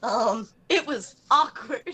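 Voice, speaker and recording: A gushing, bubbly young woman talking casually on a podcast, piling "like" into every sentence. Her voice sits a little high and rings bright and forceful. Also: lively intonation, slow pace, husky. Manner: bubbly, gushing, fills speech with "like". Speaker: cosplayer guest.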